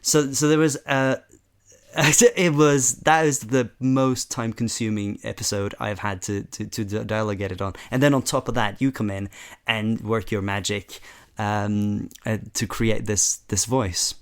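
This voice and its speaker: A man's voice: on the low side, steady tone, measured pace, smooth. A man working as a dialogue editor on a show, chatting casually behind the scenes and explaining the work in an appreciative manner. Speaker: podcast producer and dialogue editor.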